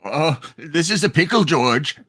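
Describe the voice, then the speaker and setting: A flustered older uncle acted by a man in a radio play, hapless and panicky. He speaks haltingly in a bright, tense voice. It sits fairly high and jumps about. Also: expressive, breathy edge, exaggerated voice. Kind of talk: hammed-up live radio play reading.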